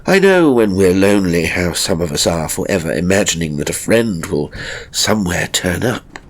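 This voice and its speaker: A man narrating a literary ghost story in the first person, with measured, dramatic phrasing and deliberate pauses. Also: low-pitched, expressive. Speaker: audiobook narrator. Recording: music behind the voice. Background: music.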